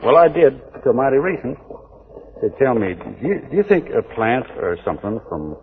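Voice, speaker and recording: A courtly, stubborn Texas Ranger in a 1940s radio western. He talks in a folksy, aw-shucks Texan drawl at a middling pitch. The recording is tinny, narrow and noisy. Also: sing-song, normal speed. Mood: courting.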